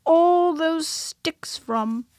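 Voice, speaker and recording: A tiny, timid piglet squeaks out his words nervously, as a woman voices him. The pitch sits high and the sound is close and dry. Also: very slow, a little mellow, no room sound, exaggerated voice. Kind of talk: storybook reading.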